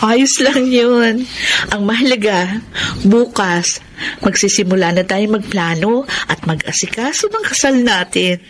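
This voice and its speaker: A woman playing an affectionate thirty-year-old bride-to-be in a radio drama, soft-hearted and teasing. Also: mid-range voice, unhurried, a little hiss or hum, lightly acted. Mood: touched, teasing.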